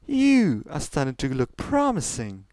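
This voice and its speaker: A man's voice: fairly high pitch, wildly swooping pitch, a little slow, a little mellow, no room sound, studio quality, voice pushed up. An imperious pony princess, acted out by a male narrator, in a raised, swooping voice. She is pushy and condescending, sugaring her orders like a big sister in a fantasy tale. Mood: pleased. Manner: commanding, impatient, condescendingly sweet.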